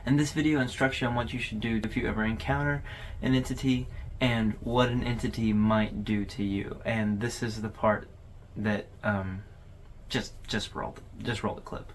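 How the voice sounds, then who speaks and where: A man's voice: fairly low pitch, steady tone, normal speed, slight background noise. A man hosting a video overview, giving casual, conversational commentary in an informal way. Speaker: host of a horror video overview channel.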